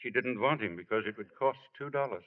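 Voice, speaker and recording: A man's voice: mid-range voice, brisk, lightly acted. A man playing a worried, subdued older father in 1940s radio acting. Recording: tinny old radio broadcast recording.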